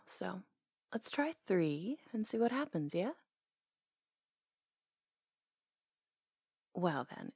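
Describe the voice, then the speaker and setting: A woman acting out a flirty, tender small-town salon owner in an ASMR romance. Her voice is soft and breathy with a lot of fry, recorded very close and dry. She teases and hesitates as she talks intimately to someone she adores. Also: lowish voice, no echo, heavily acted. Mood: flirty teasing. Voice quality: soft, breathy, sometimes whispery. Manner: teasing, hesitant pauses, intimate.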